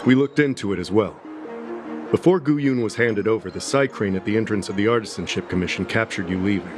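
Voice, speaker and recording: An actor as a low-voiced, methodical investigator. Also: male voice, quick pace, lightly acted. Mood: measured, probing. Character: methodical male investigator laying out accusations. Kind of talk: video game voice acting. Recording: game music under the voice.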